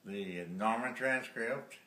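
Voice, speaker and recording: A man, a retired journalist giving earnest, measured interview answers. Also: mid-range voice, pushed and bright. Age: 80s. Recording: roomy echo, distant mic.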